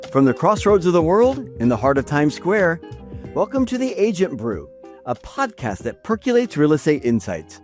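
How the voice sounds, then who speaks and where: A man hosting a podcast interview in a studio, often halting and repeating words as he thinks. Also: on the high side. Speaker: podcast host. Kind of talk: interview with a scripted intro.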